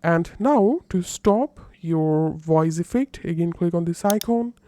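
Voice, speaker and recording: A woman narrating a software tutorial with her voice lowered by a voice changer. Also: expressive, slow pace, dry recording. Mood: instructional.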